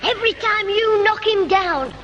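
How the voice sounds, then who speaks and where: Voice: extremely high pitch, lively intonation, dull audio. An actor playing a cheeky, bossy schoolboy with a Northern English accent in a 1960s radio comedy. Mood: urgent, cheeky. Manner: cheeky, quick-retorting. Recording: noisy 1960s radio recording.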